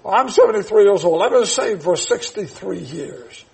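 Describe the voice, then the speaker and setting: Casting: man in his 70s, gravelly, husky preacher, high-pitched for a man, loud emphatic delivery in a church. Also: lively intonation, normal speed. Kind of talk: sermon with prayer and scripture reading.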